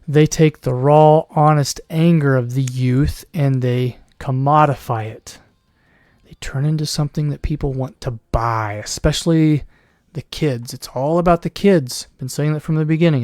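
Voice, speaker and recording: A man talking alone on his podcast with a hoarse, worn voice, sometimes clearing his throat. He rambles and restarts his sentences, now and then slipping into a mocking put-on voice. The sound is dry and close, with no room around it. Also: fairly high pitch, darkish tone, dry recording. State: losing his voice. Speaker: podcast host. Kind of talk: solo commentary podcast.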